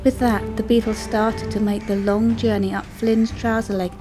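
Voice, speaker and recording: A woman's voice: mid-range voice, level tone, normal speed, music in the background. A woman storyteller reading a scripted children's story as narration.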